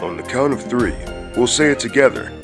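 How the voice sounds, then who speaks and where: A man's voice: medium pitch, expressive, a little fast, over music, natural voice. A man voicing a big, strong, goofy and hot-tempered gorilla in a scripted comedy cartoon, with a blunt, boastful manner. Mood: casual.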